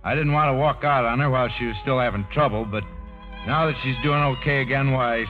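A man's voice: medium pitch, brisk, lightly acted. Brusque, bitter husband played by a man, tinny old radio. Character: brusque, self-pitying husband walking out on his wife. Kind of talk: 1950s radio drama acting. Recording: muffled, phone-like old radio broadcast, faint music.

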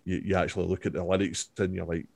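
A man, chatting about an album as a podcast co-host, halting and full of restarts. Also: deep voice, flat delivery, gravelly, darkish tone, no room sound, studio quality. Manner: halting, many fillers and restarts. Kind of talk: casual album discussion.

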